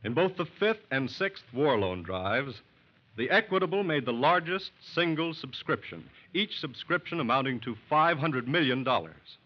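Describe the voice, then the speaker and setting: A man's voice: mid-range voice, expressive, normal speed. A man with an American accent announcing in a formal, emphatic, scripted 1940s radio style. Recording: muffled 1940s radio recording.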